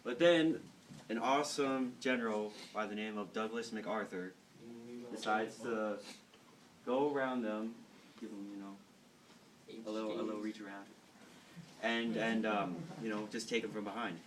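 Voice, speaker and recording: Young American male, casual joking storyteller with slangy delivery, slightly low voice, picked up from a distance. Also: fairly even intonation, measured pace, long pauses, crowd noise. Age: teens to young adult. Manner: slangy, irreverent. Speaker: student cadet telling history stories. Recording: noisy room, distant microphone.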